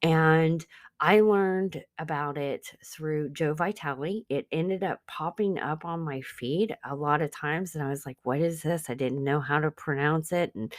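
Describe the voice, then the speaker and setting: A woman's voice: low voice, measured pace, no room sound, clean studio sound. A woman who speaks English as a second language, hosting an informal spiritual self-help teaching, earnest and conversational, addressing listeners directly. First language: not English. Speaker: podcast host and spiritual business coach.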